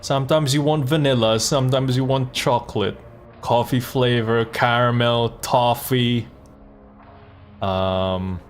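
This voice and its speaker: A man's voice: mid-range voice, steady tone, unhurried, soft background music. A man who streams and voice acts, chatting informally and thinking aloud in hesitant bursts. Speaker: streamer and comic dub voice actor. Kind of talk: casual livestream chat.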